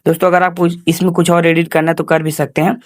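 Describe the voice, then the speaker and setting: A man's voice: on the high side, little pitch movement, fast talker, no echo, studio quality. A man hosting an informal step-by-step screen tutorial, addressing viewers as friends with repetitive instructional phrasing. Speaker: tutorial host.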